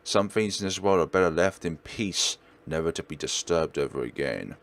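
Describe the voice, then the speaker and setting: Casting: male let's play host, low voice, theatrical and animated narration of horror text, occasional stumbles. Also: moderate pace. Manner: theatrical, stumbles and rereads words. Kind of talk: dramatic read-aloud narration of a visual novel.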